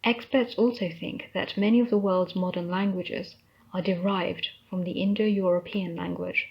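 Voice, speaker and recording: Slow, husky British woman teaching. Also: fairly low pitch, fairly even intonation. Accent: British English. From Britain. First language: English. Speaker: English teacher. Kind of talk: scripted lesson narration. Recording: dull lo-fi recording.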